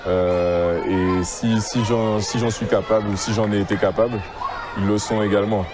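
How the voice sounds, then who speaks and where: A man from Guadeloupe answers interview questions outdoors, halting often and restarting his sentences. His voice sits fairly low. A crowd murmurs behind him. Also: steady tone, music in the background. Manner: halting, many fillers and restarts. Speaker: athlete interviewed. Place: outdoors at a school.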